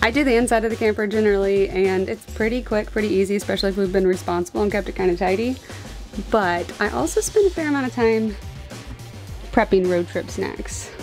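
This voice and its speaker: A woman's voice: medium pitch, moderate pace, soft background music. A woman in her thirties from Indiana, chatting upbeat and jokey to a handheld camera inside a camper. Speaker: RV vlogger. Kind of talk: casual vlog chat to camera. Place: inside a travel trailer. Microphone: handheld camera close up.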